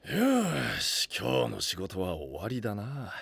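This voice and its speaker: A man playing a gruff, ambitious knight boss with a soft heart, speaking in brash delinquent style in an anime voice drama. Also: lowish voice, huge pitch swings, measured pace, heavily acted. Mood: blustering, flustered. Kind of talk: Japanese anime voice drama acting. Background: background music.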